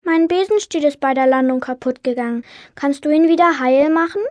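A woman's voice: high-pitched, fairly quick, no echo, close to an everyday voice. A woman playing a curious, polite little witch girl in a children's audiobook, asking things eagerly. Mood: hopeful request. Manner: bright-eyed, inquisitive questioning.

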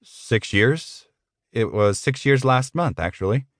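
A man's voice: medium pitch, animated, slow, no echo, clean studio sound. Man playing a meek, earnest office worker. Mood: deferential. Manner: deferential, hesitant, polite.

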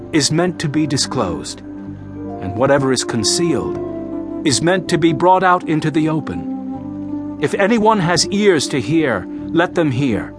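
An impassioned teacher preaching parables in an audio Bible drama. His voice is fairly high and very animated, with emphatic, declamatory phrasing. Music plays beneath him.

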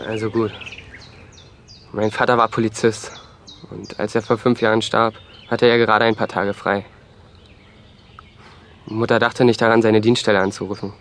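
An actor playing a gruff, defensive, hot-tempered young man in an audio drama. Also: lowish voice, little pitch movement, moderate pace, pauses often, a bit bright, a little hiss or hum. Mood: bitter, confiding. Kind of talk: German audio drama acting.

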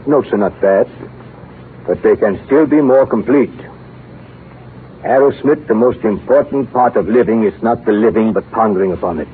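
A man's voice: lowish voice, lightly acted. Man playing a stern old professor, vintage radio. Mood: approving, instructive. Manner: deliberate, didactic, emphatic pronouncements. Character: exacting older male bacteriology professor, blunt, devoted to research. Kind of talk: 1930s radio drama acting. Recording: muffled, noisy 1930s radio recording.

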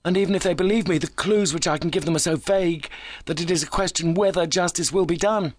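A man voicing an overwrought but plucky young injured engineer in an audiobook, excitable, earnest and well-mannered. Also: high voice, fast, pitched above the performer's own voice. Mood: agitated, earnest. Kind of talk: audiobook character voice.

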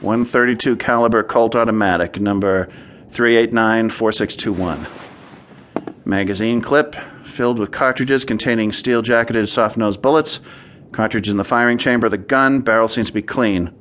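A man's voice: on the low side, normal speed, a bit bright, slight background noise, natural voice. An actor playing a shrewd, brusque male defense lawyer in a radio drama, with a clipped, commanding, businesslike manner.

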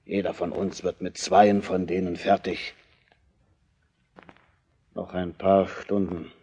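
Braggy soldier in a bible radio drama, warm low-key timbre, brushes off doubts. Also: male voice, level tone, slow pace, breathy edge, lo-fi sound, heavily acted. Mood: boastful, dismissive. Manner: blustering, dismissive. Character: cocky, bragging veteran soldier. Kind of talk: German biblical radio play acting.

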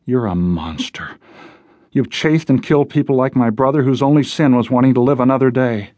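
A man's voice: medium pitch, a little fast, darkish tone, no room sound, pitched above the performer's own voice, lightly acted. A man voicing a bitter, defiant young woman on the run in an audiobook. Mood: bitter, accusing. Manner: cold, bitter, accusing. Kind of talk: audiobook character voice.

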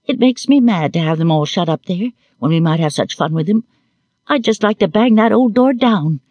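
Storybook role: quick-tempered little girl, bossy and eager, raised pitch male reader playing her, close dry sound. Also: animated, warm tone, slightly rough, no room sound, lo-fi sound. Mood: impatient.

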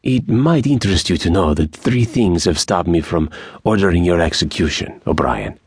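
A man performs a bandit leader for a western storybook. The voice is smooth and sociable with menace underneath, lifted in pitch, husky at the edges and recorded very dry. He speaks deliberately, with a shrewd, mocking slant. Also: sing-song, darkish tone, no room sound.

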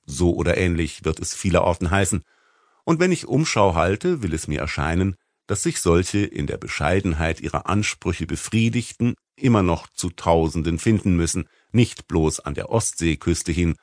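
A man narrating a literary audiobook in a measured, formal manner with rhetorical emphasis. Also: sits low, expressive, dry recording, clean studio sound. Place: dry recording studio.

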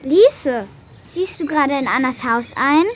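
A woman's voice: high-pitched, expressive, a little slow. An actress playing a well-behaved, curious young schoolgirl in a children's radio play, speaking in an earnest, friendly way.

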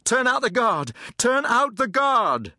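A man voicing a nervous, embattled army private in an audiobook, shouting urgently. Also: very high-pitched, big pitch swings, slow, no echo, studio quality, pitched above the performer's own voice, full character voice. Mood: desperate alarm.

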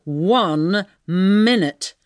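A man's voice: high-pitched, huge pitch swings, measured pace, dry recording, studio quality, exaggerated voice. A man voicing an invisible man in an audiobook, a disembodied speaker who is terse, commanding and impatient. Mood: insistent. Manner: terse, insistent. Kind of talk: audiobook character voice.

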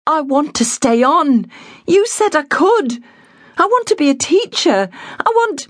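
Woman reading as a high-voiced defiant young girl, urgent and pleading, audiobook read, dry close sound. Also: big pitch swings, measured pace, no echo. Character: spirited thirteen-year-old working girl, defiant.

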